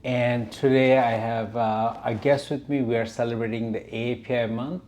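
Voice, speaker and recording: A man with a mid-pitched voice hosts an interview in a semi-formal style. He introduces and asks questions, with some background noise and ambience around his voice. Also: little pitch movement, moderate pace, darkish tone. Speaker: interviewer, host.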